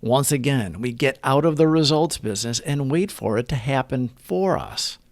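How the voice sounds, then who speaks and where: A man reading a scripted daily meditation and reflection in an earnest, measured manner. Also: medium pitch, no echo.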